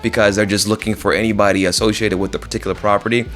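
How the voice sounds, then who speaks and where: A man's voice: lowish voice, level tone, very fast, fairly bright tone, soft background music. A man talking directly to viewers as a real estate agent, informal and conversational, repeating phrases for emphasis. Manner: conversational, addresses listeners directly.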